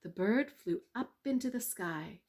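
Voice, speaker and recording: A woman narrating a children's bedtime story in a measured, expressive read-aloud manner. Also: medium pitch.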